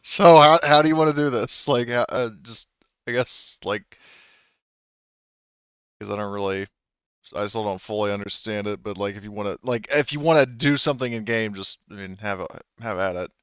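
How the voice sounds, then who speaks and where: A man's voice: on the low side, normal speed, smooth, no echo, muffled recording. A man acting as game master of a tabletop game, talking in a hesitant, laid-back way with plenty of fillers. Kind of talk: running a tabletop game over voice chat.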